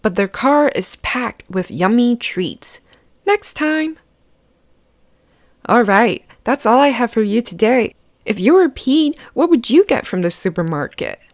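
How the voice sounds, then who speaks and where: Female children's read-aloud host, lively pitch swings, slow clear-cut pacing, dry close sound. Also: no room sound. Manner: expressive, simple, child-directed explaining. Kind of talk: picture book read-aloud to children, with questions to the listener.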